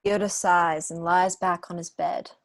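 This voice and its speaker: Woman narrating script directions, lowish voice. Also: fairly even intonation, measured pace, vocal fry, no room sound, studio quality. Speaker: reader of stage directions. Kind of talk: scripted narration at an amateur table read. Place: home room, on a video call.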